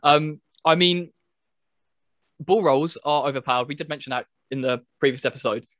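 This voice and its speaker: A man's voice: on the high side, moderate pace, a bit bright, no echo, clean studio sound. A man hosting a casual video chat about games, talking as a podcast presenter. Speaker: podcast host presenting a game review video.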